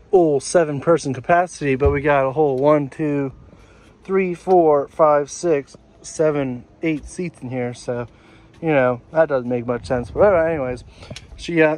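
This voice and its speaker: A man's voice: on the high side, a little slow, a little mellow. A man in his thirties with an American accent, talking casually and in a folksy way as a vlog host, outdoors on a handheld camera. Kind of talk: casual vlog tour talk. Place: outdoors in a residential yard. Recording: noisy outdoor action-camera audio.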